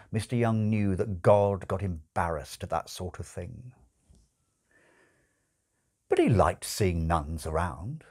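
A man in his sixties reads a comic novel aloud expressively, with dramatic, wryly emphatic phrasing and deliberate pauses, recorded in a room at home. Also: lowish voice, darkish tone. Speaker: audiobook-style reader. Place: small furnished room at home, indoors.

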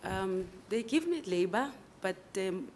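A South African woman in her forties, answering interview questions formally and carefully, with frequent pauses and fillers, at a table microphone in a large conference room. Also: mid-range voice, expressive, a little mellow, slight background noise. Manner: measured, hesitant with fillers. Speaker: candidate advocate interviewed for judgeship.

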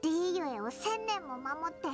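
A tiny floating game fairy with an extremely high, squeaky child's voice that swoops up and down. The little companion is curious and excitable, talking in blunt boyish phrases over game music. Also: female voice, normal speed. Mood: troubled, sympathetic. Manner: rough boyish sentence endings. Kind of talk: Japanese anime game acting.

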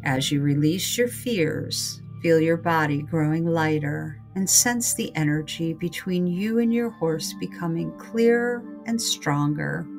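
A woman guiding a scripted meditation in a calm, unhurried, soothing manner. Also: deep voice. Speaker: meditation guide. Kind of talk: scripted guided meditation narration.

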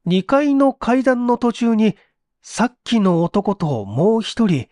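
A man voicing an agitated, desperate accused man giving halting, strained testimony in a story reading. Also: sits high, sing-song, a little mellow, no echo, clean studio sound, pitched above the performer's own voice, exaggerated voice. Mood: agitated pleading.

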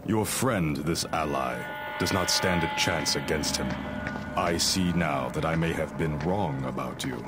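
An actor playing a grim masked ice ninja warrior in a video game cutscene, speaking in a grave, measured, cold way. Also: male voice, rumbling low, loud music behind the voice. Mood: stern.

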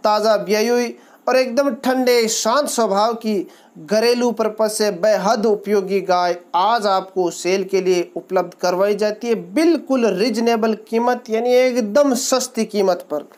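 A man from Rajasthan narrates as the host of his own channel. He lists points one after another in a steady, promotional voice-over, polite and formal in his greetings. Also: high-pitched, a little slow. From Rajasthan, India. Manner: steady salesy listing of features, formal greetings. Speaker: channel host, livestock seller presenter. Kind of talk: promotional voice-over describing a cow for sale.

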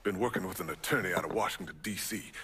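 A middle-aged American activist leader in a game drama. His voice is low and forceful, grave and burdened, over faint noise. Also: fast talker. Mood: grim, angry. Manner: grave, emphatic, profane. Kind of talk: video game cutscene acting.

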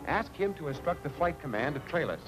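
An urgent, decisive young American pilot hero in a vintage film serial. His voice sits fairly high, and music plays under the old 1940s soundtrack. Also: big pitch swings, fairly quick, poor audio.

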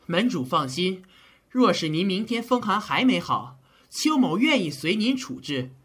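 An actor playing an earnest, caring but easily flustered physician of ancient China in a period audio drama. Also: male voice, sits high, big pitch swings, measured pace, fairly bright tone, breathy edge, full character voice. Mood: defiant.

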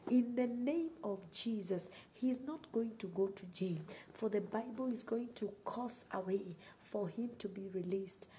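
A middle-aged Kenyan woman preaches to an online audience in a mellow, mid-pitched voice. She prays emphatically, repeating phrases to drive them home. The recording is homemade, with noticeable background noise. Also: measured pace, few pauses, rough recording. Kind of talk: sermon and prayer on a livestream.

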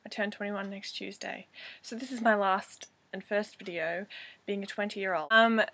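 A woman's voice: mid-range voice, fairly even intonation, moderate pace, a bit bright. A woman of twenty with an Australian accent, introducing herself casually to a webcam in her bedroom, rambling and self-deprecating with lots of fillers. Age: 20s. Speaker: vlogger. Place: bedroom with poster-covered walls, indoors. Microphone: webcam at desk.

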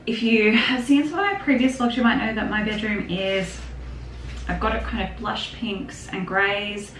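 A woman's voice: mid-range voice, moderate pace, a bit bright. A young woman from Australia chatting casually on camera in her home craft room, rambling a little and laughing often as she talks. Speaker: vlogger. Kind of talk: casual shopping haul chat. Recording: echoey room with background noise.

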